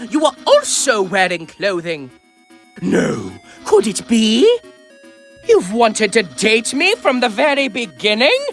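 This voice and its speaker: Game character: vain, naive skeleton showman. Slow, pompous delivery, extremely animated pitch, theatrical cartoon bluster. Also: exaggerated voice. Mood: astonished. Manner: grandiose, theatrical, swinging from hushed asides to loud exclamations. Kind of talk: amateur video game character dub. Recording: voice over background music.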